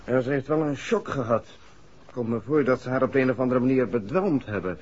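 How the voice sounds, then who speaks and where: An actor in a 1960s Dutch radio play playing a reassuring but firm family doctor. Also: male voice, mid-range voice, brisk, darkish tone, husky, natural voice. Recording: dull, muffled old radio recording.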